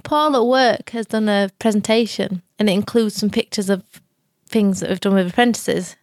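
A woman's voice: mid-range voice, moderate pace, no room sound, studio quality. A woman in her late thirties co-hosting a podcast, casual and teasing in banter. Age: 30s. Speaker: podcast co-host. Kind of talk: casual chat.

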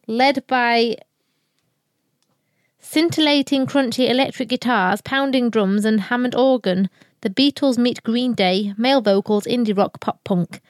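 A woman co-hosts a music podcast, slightly high-voiced and relaxed. She sounds close to the mic in a dry studio. Her delivery is easygoing and a little giggly. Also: no room sound. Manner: relaxed, giggly, reads out song blurbs. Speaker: podcast co-host. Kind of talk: casual music podcast chat.

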